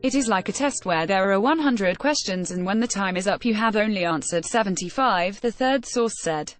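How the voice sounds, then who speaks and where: A woman's voice: medium pitch, animated, moderate pace. A woman reading a scripted news report aloud as narrator. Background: background music.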